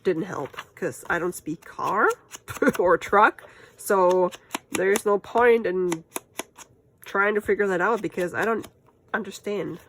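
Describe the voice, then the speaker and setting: A woman's voice: fairly low pitch, gravelly, a bit bright, slight background noise. A woman with a German accent, German being her first language, chatting casually and laughing at herself while she makes crafts at home. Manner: chatty and self-amused, laughs often, talks to herself while working. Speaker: crafting channel host.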